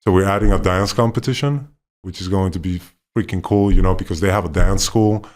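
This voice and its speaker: A man from Poland co-hosting a casual podcast, talking in a relaxed, rambling and enthusiastic way. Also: deep voice, a little fast. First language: not Polish; left Poland at five. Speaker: podcast co-host, former basketball player.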